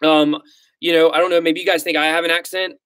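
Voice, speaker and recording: A man in his thirties with a Southern accent, hosting a casual livestream and talking through viewer comments. His voice sits slightly high, and he rambles with frequent fillers and self-deprecating asides. The recording is close and dry, with no room sound. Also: level tone, quick pace, fairly bright tone, clear, resonant tone, no room sound. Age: 30s. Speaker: crypto livestream host.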